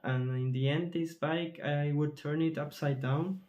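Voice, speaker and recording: A man from Chile, a composer answering interview questions thoughtfully, often pausing and searching for words. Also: a little high, steady tone, darkish tone, lo-fi sound. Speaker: composer in residence.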